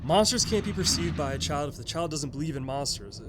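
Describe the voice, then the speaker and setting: An actor playing a folksy, earnest retired uncle in an audio sketch comedy. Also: male voice, on the high side, lively intonation, fairly quick, noisy background, close to an everyday voice. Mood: earnest explaining. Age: older. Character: retired fatherly uncle, earnest and matter-of-fact about monsters.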